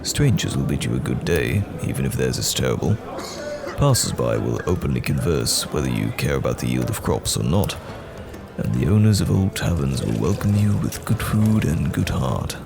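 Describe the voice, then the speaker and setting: Guy doing an earnest traveling knight, super deep n gravelly, swings up and down, thoughtful old-timey talk. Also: sing-song, exaggerated voice. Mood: content, philosophical. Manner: measured, thoughtful, old-fashioned formal speech. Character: courteous, earnest male wandering knight in a fantasy tavern. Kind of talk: fantasy roleplay audio acting. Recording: music behind the voice.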